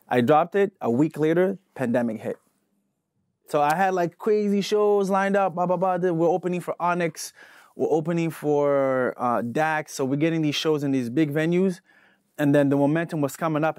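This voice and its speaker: A man in his thirties, born in Angola with Portuguese as his first language, telling his story in a relaxed interview in a studio. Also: mid-range voice, no room sound. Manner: animated storytelling with hand gestures, shifting energy. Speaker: guest artist. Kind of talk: casual interview. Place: recording studio.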